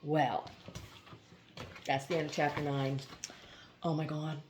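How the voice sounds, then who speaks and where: A woman reading a novel aloud, pausing between chapters for relaxed, chatty comments. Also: deep voice, slight background noise. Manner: expressive reading, laughs at funny passages. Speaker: audiobook reader and channel host.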